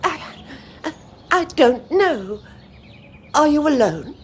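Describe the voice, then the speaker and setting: A fluttery genteel spinster sister. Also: female voice, high-pitched, very animated, slow pace, slight background noise, full character voice. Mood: flustered. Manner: fluttery, nervous, then sardonic. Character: genteel older sister, bereaved and bitter.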